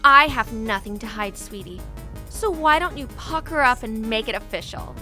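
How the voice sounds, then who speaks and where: A woman plays a sassy teenage girl in a high school cartoon. Her voice is forceful, bright and fairly high, with a spirited, needling edge over soft music. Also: animated, moderate pace. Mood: haughty, scornful. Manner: taunting, sassy. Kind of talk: cartoon fan drama acting.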